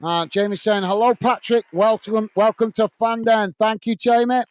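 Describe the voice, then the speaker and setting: A man's voice: high voice, steady tone, moderate pace. Lancashire man calling a football match live. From Bolton, north of England. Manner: chatty, calls viewers mate, frequent uh fillers. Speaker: football watch-along host. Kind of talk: live match commentary and chat reading. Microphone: earphones and desk microphone.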